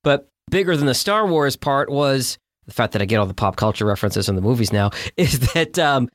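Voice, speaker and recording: Casting: man, forties, podcast co-host, chatty and upbeat, mid-pitched, dry close sound. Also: lively intonation, brisk, dry recording. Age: 40s. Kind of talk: casual chat.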